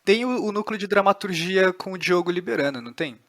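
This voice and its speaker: A man's voice: sits high, animated, a little fast, a bit bright, no echo. Brazilian man hosting, dry close sound. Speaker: podcast host and interviewer. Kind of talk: podcast introduction and conversational interview.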